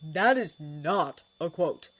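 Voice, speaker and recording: A woman voicing a terse, fed-up teenage boy wizard in her own low voice. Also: sing-song, takes it slowly, exaggerated voice. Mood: curt. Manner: curt and cold.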